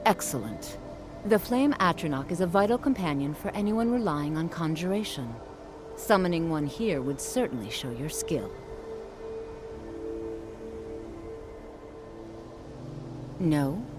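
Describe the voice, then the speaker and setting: An actress playing a haughty, formal mage gatekeeper in a fantasy video game, measured and probing. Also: female voice, deep voice, expressive, music in the background. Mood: approving, instructive.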